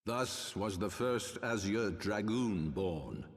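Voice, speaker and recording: Fantasy game patriarch, older ruler, low-pitched, formal and unbending, heavy hall reverb. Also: male voice. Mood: solemn, insistent. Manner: measured, formal, archaic oratory. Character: stern, eloquent older male religious ruler, a father justifying old sins. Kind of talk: dramatic fantasy game cutscene acting.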